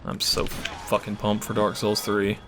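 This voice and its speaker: A man's voice: on the low side, completely flat delivery, measured pace, background noise. A man casually commenting while he plays a video game, rambling and swearing, losing his voice and coughing. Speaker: gaming channel host. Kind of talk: casual let's play commentary.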